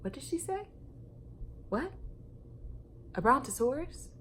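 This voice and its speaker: A woman reading a story aloud to children like a teacher, expressive and emphatic, with dramatic pauses and questions to her young listeners. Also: high voice, slight background noise.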